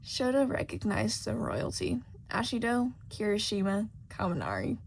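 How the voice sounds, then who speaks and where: A woman's voice: on the high side, big pitch swings, moderate pace, vocal fry. A woman reading a scripted story aloud as an amateur audiobook narrator. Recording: faint background noise.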